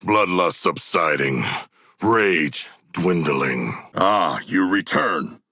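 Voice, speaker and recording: An actor playing a huge, solemn shark warrior in a video game, eager for battle, speaking in formal, stilted phrases with a raspy, gravelly voice. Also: male voice, low-pitched, animated, extremely slow, pushed and bright, no room sound, studio quality, heavily acted. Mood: solemn, deadpan. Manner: formal, stilted, grandiose diction without contractions. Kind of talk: video game character voice lines.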